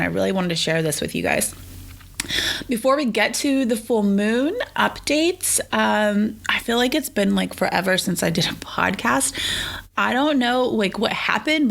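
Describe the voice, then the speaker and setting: Middle-aged female podcast host, sick and sniffly, creaky gravelly tone, chatty and rambling. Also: medium pitch, a bit bright. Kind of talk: casual solo podcast chat.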